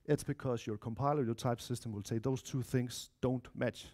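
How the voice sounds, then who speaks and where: A man in his thirties with a Danish accent presents an informal technical talk. His voice is dark and relaxed, at an ordinary male pitch. He speaks chattily, often turning to the audience with asides. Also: normal speed. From Denmark or Spain, of mixed Spanish-Danish family. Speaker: conference speaker, software developer. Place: lecture room, indoors.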